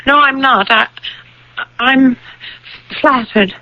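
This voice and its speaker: An actress playing a gentle, insistent young bride-to-be in a vintage radio drama, with deliberate, pleading delivery. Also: female voice, high-pitched, full character voice. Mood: reassuring. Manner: slow, deliberate, pleading, repeats phrases. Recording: dull vintage radio broadcast recording.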